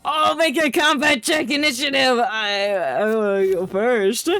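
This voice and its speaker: High-voiced, slow-paced woman game streamer. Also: fairly even intonation, a bit bright, no room sound, soft background music. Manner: playful, wisecracking. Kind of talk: casual playful livestream gameplay commentary.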